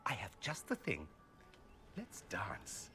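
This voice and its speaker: An actor playing a witty, flirtatious, suave companion in a video game, with playful, dry teasing. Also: male voice, on the low side, wildly swooping pitch, takes it slowly, sharp tone, soft background music, full character voice. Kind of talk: video game cutscene acting.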